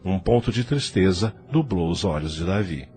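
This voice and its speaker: A male narrator reads an audio novel in a low voice. His intonation is animated, rising and falling widely through the scripted prose. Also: moderate pace.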